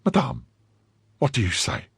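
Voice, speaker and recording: A man's voice: medium pitch, huge pitch swings, unhurried, gravelly, slightly rough, dry recording, clean studio sound, pitched above the performer's own voice, exaggerated voice. A man doing a pompous middle-aged mercer. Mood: flustered. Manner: self-important, blustering. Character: pompous fifty-year-old mercer, cowardly and greedy.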